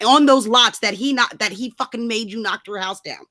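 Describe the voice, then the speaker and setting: A woman's voice: a little high, a little fast, pushed and bright, no room sound, clean studio sound. A woman ranting casually about politics in a blunt, emphatic, sweary way, as a co-host.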